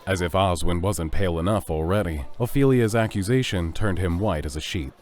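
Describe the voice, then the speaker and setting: Deep-voiced male narrator. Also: brisk, darkish tone. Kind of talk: scripted audio drama narration.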